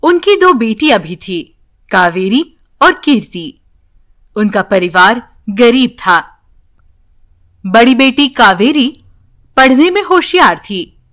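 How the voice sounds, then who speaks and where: A woman's voice: on the high side, big pitch swings, takes it slowly, long pauses, fairly bright tone. A woman narrating a scripted bedtime story for children as a storyteller.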